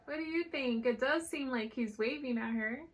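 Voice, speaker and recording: A woman reading a picture book aloud to children in an animated way, pausing to explain words and ask questions. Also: high-pitched. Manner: animated, checks in with listeners, explains words simply. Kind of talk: expressive read-aloud with explanatory asides for children.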